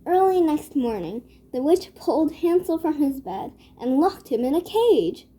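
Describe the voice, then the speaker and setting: A woman narrating a children's story from a script in a measured read-aloud manner. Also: high-pitched, very animated, dark tone.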